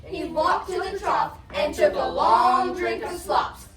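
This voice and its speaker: A young female narrator performing a storybook scene on stage, expressive and emphatic in her delivery. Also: extremely high pitch, slow. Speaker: student reader's theatre narrator. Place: competition performance room indoors. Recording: echoey room, distant mic, audience noise.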